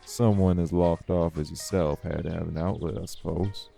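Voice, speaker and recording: A man acts out an ancient male vampire for an intimate audio roleplay. His voice sits very low with a heavy gravelly fry and a dark, mellow tone. He drawls without hurry, guarded and wry, with music behind him. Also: fairly even intonation. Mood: thoughtful, probing. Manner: drawling, folksy, unhurried, wry. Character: world-weary, guarded old male vampire, gruff but curious.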